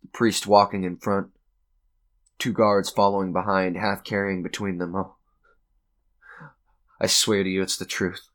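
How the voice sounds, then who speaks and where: Casting: tormented, unhinged narrator of radio suspense drama; low voice, slow halting delivery, theatrical intensity, music underneath. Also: male voice, level tone, a bit bright. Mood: haunted, tense. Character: tormented, unhinged man recounting his own doom.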